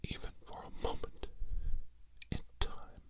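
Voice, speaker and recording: Slow, whispering man doing ASMR healing. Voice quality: breathy whisper, mostly unvoiced. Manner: slow, hushed, soothing, with long pauses between short phrases. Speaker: ASMR reiki healer. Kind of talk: soft-spoken whispered ASMR guided healing.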